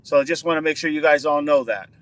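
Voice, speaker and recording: High-voiced man chatting on a livestream. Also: quick pace. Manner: chatty, repeats words for emphasis. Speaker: livestream host. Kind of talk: casual livestream chat, answering viewer comments.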